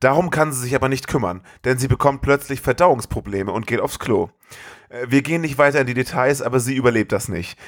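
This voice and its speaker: A man with a middle-pitched voice hosts a podcast. He retells a story casually, with a comedic slant. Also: brisk. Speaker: podcast host.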